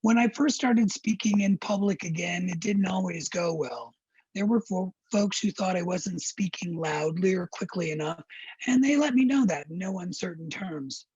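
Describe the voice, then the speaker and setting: A middle-aged man with a raspy, breathy voice from throat surgery, telling a personal story in a wry, candid, measured way. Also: high-pitched, darkish tone, no echo. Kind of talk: personal storytelling to a virtual audience. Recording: dry, dull lo-fi recording.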